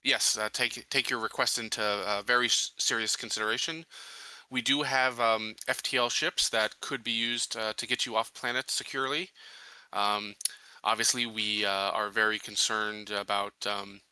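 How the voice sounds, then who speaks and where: A man playing a tabletop roleplaying game, improvising in character with halting, formal wording. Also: on the low side, little pitch movement, sharp tone, clear, resonant tone, dry recording.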